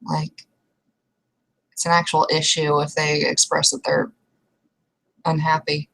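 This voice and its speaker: A woman's voice: sits low, completely flat delivery, slow pace, vocal fry, fairly bright tone, poor audio. A young woman improvising in-character roleplay conversation, speaking casually and hesitantly with frequent restarts. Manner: casual, hesitant, trailing restarts with mild swearing. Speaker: roleplay player.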